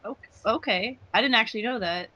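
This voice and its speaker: A woman's voice: a little high, brisk, sharp tone. A woman chatting casually as a commentator, gushing and self-deprecating. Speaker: commentator, fan dub voice actress and editor. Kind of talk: casual chat.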